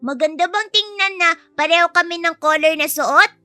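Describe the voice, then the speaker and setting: A woman's voice: very high voice, moderate pace, pushed and bright, no echo, faint music behind, voice pushed up. A woman voicing a cheeky, sweet, outspoken young child in an audio story, with a chirpy, teasing way of talking. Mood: cheerful, curious. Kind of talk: acted audio story dialogue.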